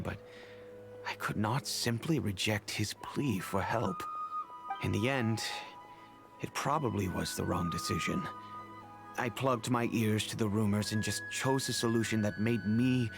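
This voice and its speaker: An actor playing an earnest, remorseful, wealthy middle-aged father in a video game, speaking in a measured, formal way. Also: lowish voice, vocal fry. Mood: regretful. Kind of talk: video game character acting.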